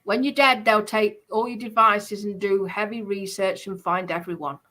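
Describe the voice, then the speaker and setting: Bright, forceful woman, insistent. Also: medium pitch, steady tone, moderate pace. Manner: insistent, repeats phrases. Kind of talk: casual debate.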